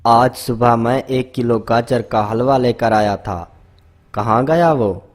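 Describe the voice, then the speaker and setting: A man's voice: mid-range voice, takes it slowly, natural voice. A man voicing an exasperated young husband. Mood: puzzled. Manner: exasperated, agitated. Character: young husband, puzzled and determined.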